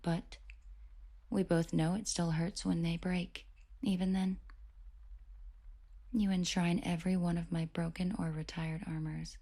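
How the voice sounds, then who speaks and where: A woman's voice: on the low side, little pitch movement, a little slow, pauses often, dark tone. A woman playing a confident villainess in a romantic audio roleplay, teasing, doting and protective, with intimate, whispery sweet talk. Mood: tender, apologetic.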